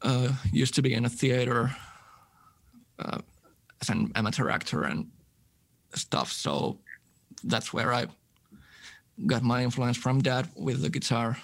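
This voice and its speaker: A man, a guest musician in a casual interview, relaxed and quick to laugh. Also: mid-range voice, creaky. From Finland. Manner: relaxed, laughs easily, detailed gear talk.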